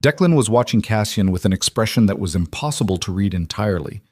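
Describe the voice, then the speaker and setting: A man reading scripted suspense fiction as an audiobook narrator, in a measured, deliberate manner. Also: lowish voice, expressive, a little mellow, no room sound, clean studio sound.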